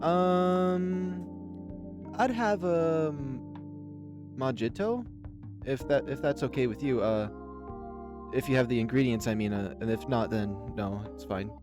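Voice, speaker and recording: Male reader as nervous young visual-novel character, timid, halting, apologetic, over clear background music. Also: medium pitch, natural voice. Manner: hesitant, stammering.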